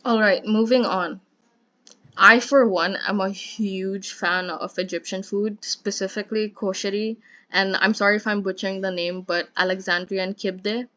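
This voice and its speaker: A woman's voice: medium pitch, steady tone, normal speed, sharp tone. Interviewer: young woman, chatty and friendly, muffled lo-fi audio. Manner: friendly, chatty, lots of likes and fillers. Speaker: student interviewer. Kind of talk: casual student interview. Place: at home.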